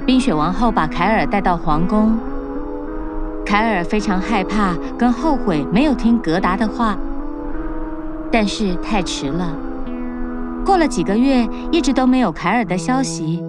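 A woman narrating a children's fairy tale in an even, clear, mid-pitched voice. She tells the story at a steady storybook pace. Music plays clearly behind her. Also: a little slow.

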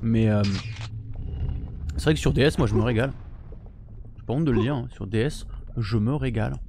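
A man's voice: mid-range voice, normal speed. Chatty gamer man streaming. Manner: chatty, mumbly while eating, bursts of loud frustration. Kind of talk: casual gameplay chat with viewers. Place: home streaming room, indoors. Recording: game sound noise behind voice. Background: game gunfire and sound effects.

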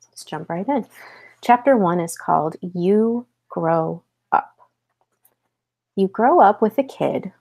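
A woman's voice: mid-range voice, gravelly, a little mellow. An American woman in her thirties teaching a read-aloud lesson, explaining things and checking in with questions. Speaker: elementary school teacher. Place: small home office, indoors.

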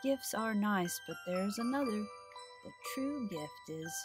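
A woman's voice: mid-range voice, expressive, unhurried, over music. A middle-aged woman hosting a children's storytime, reading and chatting in a sing-song way, asking questions and answering them. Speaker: library storytime host.

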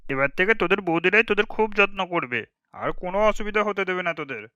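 Young newlywed brother, cartoon, high bright voice, cheerful, slightly dull dry recording. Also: male voice, expressive, quick pace, smooth, dry recording. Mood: pleased. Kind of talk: cartoon dialogue.

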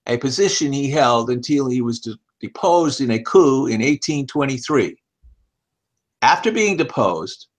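An older man in his seventies reads an article aloud as scripted narration, in measured, deliberate phrases. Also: medium pitch. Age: older, about 70s. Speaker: reader of an article. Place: small home office, indoors. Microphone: headset.

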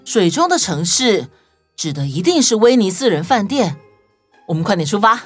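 Woman as a confident, animated detective hero. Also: mid-range voice, normal speed, slightly rough. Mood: focused, reassuring. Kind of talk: children's audio story acting. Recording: music bed behind voice.

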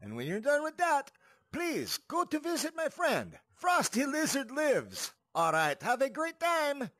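A man's voice: very high voice, huge pitch swings, measured pace, a bit bright, dry recording, voice pushed up, full character voice. A man playing a grandly welcoming show announcer, giving a theatrical scripted greeting in a showy, sing-song manner.

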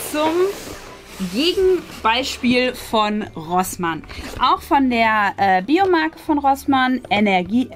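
A woman, hosting a casual unboxing and chattily presenting items to camera. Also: a little high. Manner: chatty, presenting items while handling them. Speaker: host of a craft and unboxing channel. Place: small decorated room indoors. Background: background music.

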